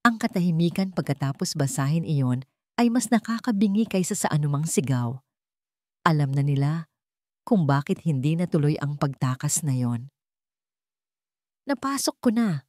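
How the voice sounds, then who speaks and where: A woman's voice: deep voice, lively intonation, normal speed, darkish tone, no echo, studio quality. A woman reading a scripted love story as a dramatic narrator.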